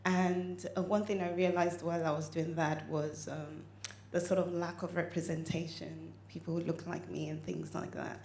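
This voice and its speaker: A woman in the audience asking the speaker a question at a conference, hesitant and full of pauses and fillers. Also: lowish voice, little pitch movement, a little mellow. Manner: hesitant, with many "um"s and slow pauses. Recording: echoey hall, faint background noise.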